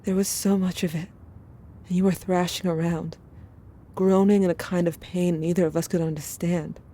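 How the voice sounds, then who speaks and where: A woman plays a haunted, protective sibling in an audio drama, speaking intimately to the one remembered. Her voice is on the low side and very mellow, kept hushed and subdued. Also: little pitch movement, normal speed, close to an everyday voice. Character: haunted, protective sibling confiding a traumatic memory. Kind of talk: intimate scripted second-person narration.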